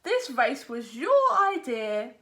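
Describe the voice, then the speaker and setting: A woman's voice: on the high side, sing-song, slow, a bit bright, exaggerated voice. A woman voicing Arthur as a cocky, argumentative male inventor in a children's storybook. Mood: irritated.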